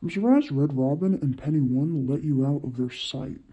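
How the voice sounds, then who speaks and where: Audiobook role: wry, commanding male vigilante played by a woman, dropped pitch, heavily muted tone, slightly muffled recording. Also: moderate pace, mellow, husky. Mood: teasing. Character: gruff male masked vigilante, bossy and wry older brother.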